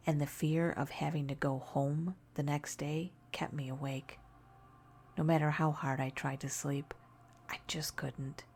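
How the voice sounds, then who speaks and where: A woman softly narrating a scary story in ASMR style, hushed and gentle, often slipping into a whisper. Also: low-pitched, vocal fry, breathy edge.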